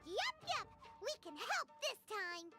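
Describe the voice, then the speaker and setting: A woman voicing a tiny childlike fairy companion in a video game, chirpy, squeaky and bewildered. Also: very animated, unhurried, full character voice. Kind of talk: video game character acting. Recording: game audio under loud music.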